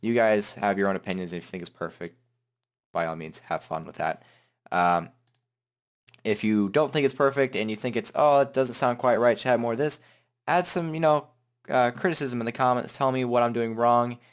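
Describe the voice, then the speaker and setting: A man hosting a casual, improvised software tutorial, talking in an informal, rambling way. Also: mid-range voice, moderate pace, clear, resonant tone. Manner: informal, rambling, hums filler syllables while working. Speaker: tutorial host.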